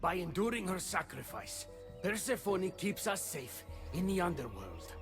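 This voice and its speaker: High-voiced, creaky, devoted Greek god. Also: male voice, sing-song, normal speed, fairly bright tone, heavily acted. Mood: devoted, defensive. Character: Hermes, anxious devoted male god serving a queen. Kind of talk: video game cutscene acting. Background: music.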